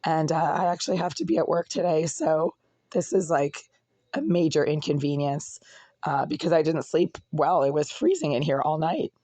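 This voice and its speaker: A vlogger with a hoarse, raspy voice that cracks and breaks, ranting in an aggrieved way from a cold apartment. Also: medium pitch, expressive, moderate pace, warm tone, no echo. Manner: aggrieved, ranting, insults critics. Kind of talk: casual complaint vlog.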